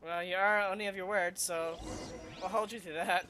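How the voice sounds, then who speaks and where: A woman's voice: on the low side, steady tone, normal speed, sharp tone, a little hiss or hum. A woman game streamer giving casual gameplay commentary, with wry, teasing asides.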